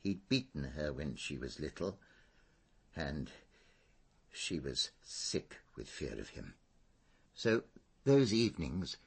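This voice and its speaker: An actor playing a fussy, dignified older former schoolmaster in a radio drama, an English man speaking in a hesitant, precise, formal way with a husky voice. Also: rumbling low, exaggerated voice. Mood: grave, sorrowful.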